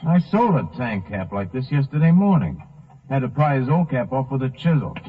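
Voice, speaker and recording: Casting: male actor as plain-spoken American filling-station man, husky, mid-pitched, explaining himself, on muffled old-time radio. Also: expressive, moderate pace, natural voice. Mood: earnest, explaining. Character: plain-spoken, earnest gas station man under suspicion. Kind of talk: 1950s radio drama acting. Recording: muffled narrow-band 1950s radio recording.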